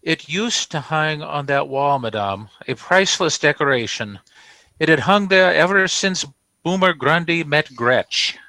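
An actor playing a pompous, flustered dealer in an old radio comedy, speaking in florid, formal phrases. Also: male voice, a little high, animated, unhurried, sharp tone, no room sound, heavily acted, low-quality recording. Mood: indignant, flustered. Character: pompous, flustered male trophy dealer defending his goods. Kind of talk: 1940s radio comedy acting.

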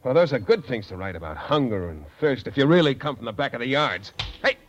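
A man's voice: medium pitch, big pitch swings, fast talker. An actor playing a tough, sardonic working-class American man in an old radio drama, terse and blunt. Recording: muffled old radio recording.

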